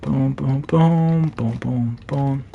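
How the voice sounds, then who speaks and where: A man live-streaming a Minecraft session, chatting casually in a scratchy, slightly hoarse voice while he plays. He rambles, corrects himself and leans on fillers. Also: mid-range voice, extremely slow, phone-quality sound. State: hoarse from talking a lot.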